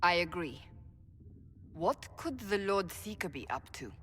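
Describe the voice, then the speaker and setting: An actress playing a stern, forthright woman warrior in a fantasy video game, speaking firmly and gravely. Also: on the low side, sing-song, unhurried, fairly bright tone, a little hiss or hum. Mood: grave, resolute. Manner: firm, grave, direct. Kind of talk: video game character acting. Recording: long hall reverb.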